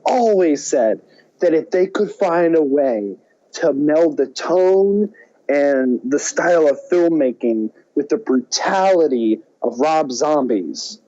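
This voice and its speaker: Male co-host, soft dark tone, excitable rambling chat, muffled audio. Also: fairly high pitch, takes it slowly. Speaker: podcast co-host. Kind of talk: casual movie chat. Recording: dull, muffled lo-fi sound.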